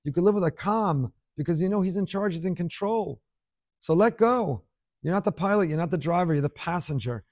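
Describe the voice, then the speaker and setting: A man delivers a spoken Torah class in an earnest, conversational tone, leaning on repetition to drive his points home. His voice sits a bit higher than most men's, and the sound is close and dry with no room around it. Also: lively intonation, a little fast, a little mellow, dry recording. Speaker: rabbi giving a class.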